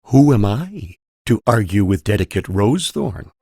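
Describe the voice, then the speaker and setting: A woman voicing a formal, dignified older male duke with a husky voice. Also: extremely low pitch, sing-song, moderate pace, dark tone, no echo, studio quality, heavily acted. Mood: dignified, dry.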